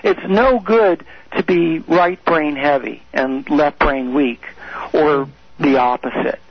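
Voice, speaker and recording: A man with a high, husky voice explains and coaches with emphatic repetition. His voice comes through dull, lo-fi radio audio. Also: slow, no echo. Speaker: guest expert on the brain. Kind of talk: radio call-in talk.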